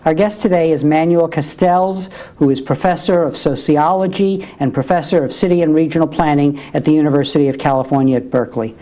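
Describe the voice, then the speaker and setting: Mellow-voiced male interview host. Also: a little high, brisk, hardly any pauses. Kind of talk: interview questions.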